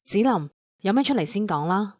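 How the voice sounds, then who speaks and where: A woman acting an animated female schoolteacher. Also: mid-range voice, normal speed, a little hiss or hum, natural voice. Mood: questioning. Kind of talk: Cantonese radio drama acting.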